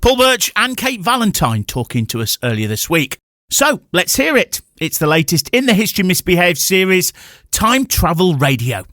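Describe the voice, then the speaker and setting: A man's voice: high-pitched, sing-song, normal speed, pushed and bright, no room sound. A man hosting a radio interview in a conversational style. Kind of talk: relaxed interview chat and links.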